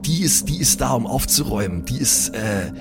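A man plays a young superhero in an improvised audio drama. He has a mid-pitched voice and talks in awkward, excitable slang full of curses and repeats. Music runs underneath. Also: normal speed, gravelly. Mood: urgent, agitated. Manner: slangy, cursing, stammering repeats.

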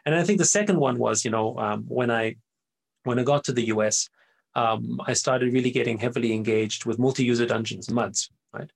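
A man's voice: mid-range voice, normal speed, vocal fry. A middle-aged man from Austria, a native German speaker, talking as an interview guest in a rambling way, often tagging his sentences with "right?". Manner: rambling, tags sentences with "right?", frequent fillers and restarts. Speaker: guest entrepreneur. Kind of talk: casual interview talk.

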